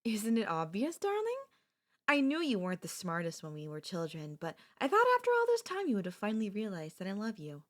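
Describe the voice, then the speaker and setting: A young woman playing an obsessive, possessive kidnapper and childhood friend in an ASMR audio roleplay, speaking in a soft, intimate, pleading manner. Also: medium pitch, huge pitch swings, fairly quick. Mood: tender, confessional.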